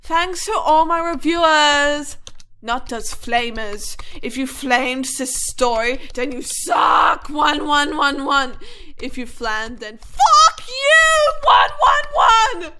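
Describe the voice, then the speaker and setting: A woman's voice: sits high, expressive, slow pace, sharp tone, slight background noise, heavily acted. A woman playing a petulant young amateur writer in a cartoon, with a whiny, defiant, overwrought manner. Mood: petulant, angry. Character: shrill, petulant young amateur fan fiction authoress.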